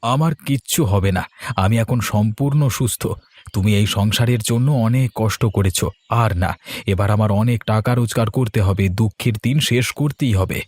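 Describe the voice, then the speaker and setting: A man's voice: lowish voice, normal speed, darkish tone, dry recording, lightly acted. Man playing an earnest, determined village man. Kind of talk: Bengali cartoon dialogue.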